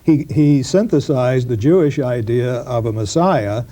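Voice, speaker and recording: A man with a mellow, soft-edged voice lays out history in an unhurried lecture style. He stops and starts often, with plenty of fillers and repeated words. It sounds like a 1990s broadcast interview. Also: mid-range voice. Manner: halting, with many fillers and restarts. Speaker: professor being interviewed. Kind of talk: explanatory interview talk.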